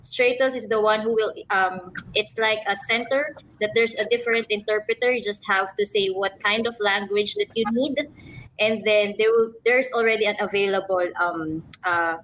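A woman's voice: medium pitch, steady tone, moderate pace, fairly bright tone, low-quality recording. A woman from the Philippines with a Filipino accent, speaking as a nurse and interview guest, casually explaining how things work. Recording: clear background noise, room ambience.

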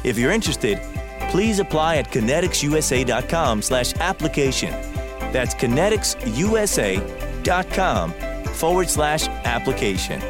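A man with a fairly high-pitched voice delivers a scripted promotional announcement for a podcast. Music plays clearly underneath him as he addresses the audience directly. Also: very animated, unhurried. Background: music bed.

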